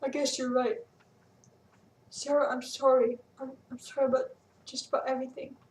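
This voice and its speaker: A woman's voice: high-pitched, little pitch movement, moderate pace, a little mellow, natural voice. A woman reading a storybook, voicing an earnest young boy who comforts his friend, with a roomy echo from a distant mic. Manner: soothing, earnest.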